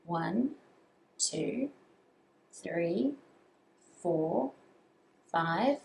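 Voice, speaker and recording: A woman reading a children's story aloud as a storyteller, with expressive, drawn-out dramatic phrasing. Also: on the low side, creaky. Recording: echoey room with faint background noise.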